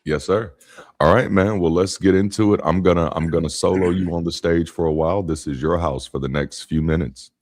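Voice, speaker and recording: A man with a very low voice hosts a live stream in a relaxed, teasing way, laughing easily between lines. His voice sits close and dry, with no room sound around it. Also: fairly quick, dry recording. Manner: playful, teasing, laughs often. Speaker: host. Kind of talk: casual livestream chat.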